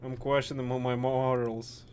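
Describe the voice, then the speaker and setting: A man giving casual gameplay reactions as a video host, speaking in a halting, informal, sweary way. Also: medium pitch, flat delivery, a little mellow, slight background noise.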